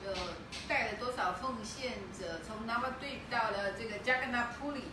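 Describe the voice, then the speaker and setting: A woman's voice: a little high, moderate pace. A middle-aged woman interpreting a talk, relaying each phrase steadily with small filler sounds. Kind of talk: consecutive interpretation. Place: temple hall, indoors. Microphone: room camera at a distance. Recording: very echoey hall from far off, with noise.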